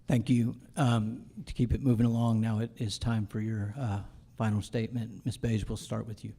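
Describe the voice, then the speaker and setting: Mellow-voiced man moderating a forum. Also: fairly low pitch, level tone, moderate pace, vocal fry, husky. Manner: polite, businesslike. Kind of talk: brief formal moderating.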